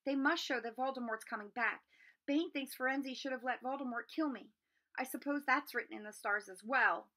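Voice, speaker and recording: A woman's voice: high voice, moderate pace, pushed and bright, pitched above the performer's own voice. A woman voicing an earnest, anxious young wizard boy in a children's storybook, urgent and questioning. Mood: agitated, feverish. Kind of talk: children's storybook read-aloud.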